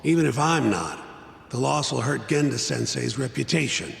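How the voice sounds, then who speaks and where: An actor playing a gruff, jaded older lawyer in a video game drama, with blunt, dismissive, colloquial speech. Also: male voice, medium pitch, moderate pace, reverberant, big-room sound. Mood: exasperated.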